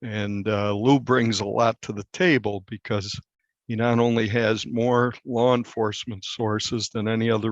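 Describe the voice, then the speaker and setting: An American man in his sixties, a newspaper reporter, speaking conversationally and earnestly in an interview, in measured phrases. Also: fairly low pitch, dry recording, lo-fi sound. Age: 60s.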